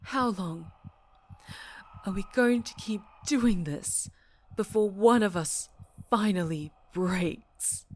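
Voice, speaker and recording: A woman's voice: on the low side, a little hiss or hum. A woman playing an obsessive, domineering superhero captor in a dramatic ASMR roleplay, speaking with volatile, condescending menace. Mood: weary despair. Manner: slow, menacing, condescending, breaking into whispers and shouts.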